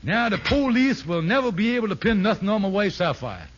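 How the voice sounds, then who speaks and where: High-pitched blustering husband, old radio. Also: male voice, very animated, a little fast. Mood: scornful. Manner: folksy nonstandard dialect grammar, exclamatory. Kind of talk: 1950s radio comedy acting. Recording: dull, hissy 1950s radio recording.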